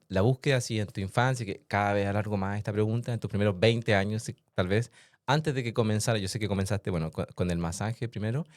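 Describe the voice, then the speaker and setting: A chatty man hosting a podcast, with a very low voice, recorded dry and close. He talks casually and laughs easily between his questions. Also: darkish tone, no room sound. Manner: chatty, laughs often, quick asides. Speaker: podcast host and massage therapist. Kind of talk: casual interview chat.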